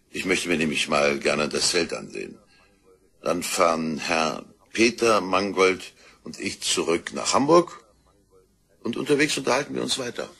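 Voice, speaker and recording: Male inspector for radio crime play: creaky, husky, brisk, authoritative, dry. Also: sits low, bright, forceful tone, heavily acted. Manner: brisk, authoritative, dryly sardonic. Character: brusque, dry, commanding male police chief inspector. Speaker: police detective. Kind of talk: German radio crime drama acting.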